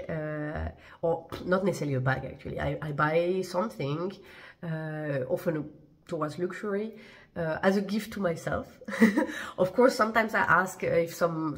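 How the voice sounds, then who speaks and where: Chatty woman with a stuffy cold voice. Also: lowish voice. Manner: chatty and hesitant, many fillers and restarts. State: congested, blocked voice from a cold. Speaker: fashion vlogger. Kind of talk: casual chat to camera. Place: living room at home, indoors.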